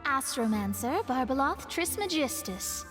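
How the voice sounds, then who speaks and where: A woman's voice: high-pitched, very animated, a little slow, long reverb tail, music in the background. A woman voicing a proud young female astrologist in a studio game, composed and a little haughty as she explains things. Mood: explaining.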